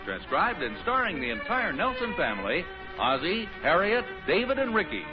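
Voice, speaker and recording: A man's voice: high-pitched, huge pitch swings, normal speed, over loud music. A man announcing and narrating an old radio comedy in 1950s style. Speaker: show announcer. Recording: dull old radio broadcast recording.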